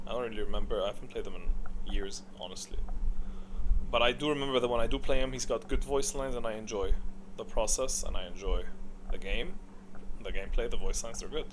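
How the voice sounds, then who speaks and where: A man delivers casual, opinionated commentary on a game, thinking aloud as he goes. His voice sits at an average pitch, and he is blunt about what he likes and dislikes. There is faint noise in the recording. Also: fairly quick. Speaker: gaming video creator.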